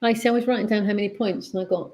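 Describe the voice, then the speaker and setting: A woman hosting a casual online quiz, reading questions slowly and repeating them before chatting. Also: medium pitch, fairly even intonation, mellow, highs cut off. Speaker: quiz host.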